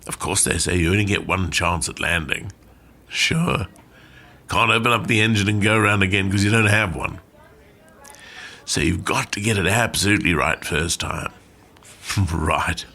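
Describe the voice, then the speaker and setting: An actor in a radio play monologue as an old, plainspoken war veteran and glider pilot, reminiscing conversationally with dry understatement. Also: male voice, sits low, moderate pace, pauses often, bright, forceful tone, exaggerated voice. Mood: sardonic. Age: older.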